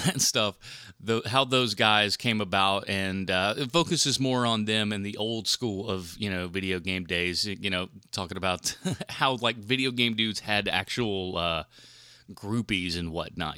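A man's voice: fairly low pitch, sharp tone, dry recording, clean studio sound. A man hosting a film podcast solo in a casual, rambling, informal and mildly profane way. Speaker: podcast host reviewing films.

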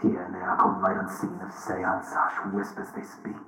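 An eerie male spirit chants like an incantation in a low, breathy voice. The sound is drenched in echo, a supernatural radio play. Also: completely flat delivery, moderate pace, bright, forceful tone. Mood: ominous chanting. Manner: incantatory, repetitive. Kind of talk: supernatural audio drama acting.

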